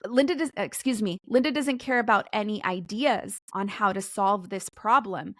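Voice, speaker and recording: A woman's voice: mid-range voice, normal speed, no echo, studio quality. A woman in her forties, a podcast guest explaining ideas in an earnest way. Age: 40s. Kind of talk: podcast interview.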